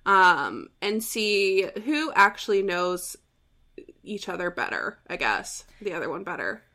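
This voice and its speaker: A woman's voice: lowish voice, sing-song, unhurried, pushed and bright. A young woman co-hosting a book podcast, chatting casually and laughing, just woken up and a bit rambling. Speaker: podcast co-host. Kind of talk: casual chat.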